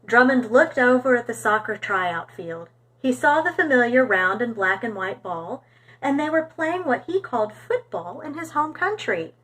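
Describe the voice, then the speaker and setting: Animated, bright-voiced woman reading a children's story. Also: fairly high pitch, normal speed, low-quality recording. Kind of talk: expressive children's storybook narration.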